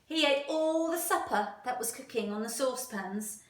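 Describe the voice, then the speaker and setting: High-voiced woman reading to children, roomy echo. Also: normal speed. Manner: expressive, addressing young children with questions. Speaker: primary teacher reading a storybook aloud. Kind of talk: children's storybook read-aloud. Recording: roomy echo, distant microphone.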